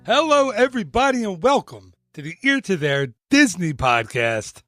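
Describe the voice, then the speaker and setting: A male podcast host with a fairly high voice runs through his promo in a chatty, upbeat way. He breaks things up with self-deprecating asides. His studio mic sounds close and dry, with no room echo. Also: big pitch swings, moderate pace, bright, forceful tone, faint music behind. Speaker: podcast host and travel agent.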